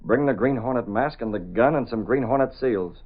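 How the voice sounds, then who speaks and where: An actor playing a resolute masked vigilante in vintage radio drama, with a clipped, authoritative, measured delivery. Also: male voice, medium pitch, slightly rough, phone-quality sound, close to an everyday voice. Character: resolute masked male vigilante, cool commanding gentleman. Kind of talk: 1930s-40s radio drama acting. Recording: thin band-limited vintage radio recording.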